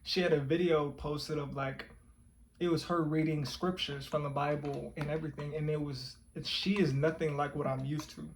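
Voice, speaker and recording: A man's voice: fairly high pitch, flat delivery, moderate pace, gravelly, slight background noise, rough recording. A man in his twenties chatting casually and candidly with his girlfriend, swearing freely. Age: 20s. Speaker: co-host of couples channel. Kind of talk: casual couple chat.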